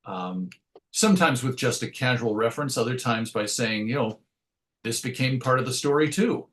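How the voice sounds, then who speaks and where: A man's voice: mid-range voice, moderate pace, fairly bright tone. Man giving thoughtful interview answers. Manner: thoughtful, explains through analogies. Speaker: interview guest, writer and radio host.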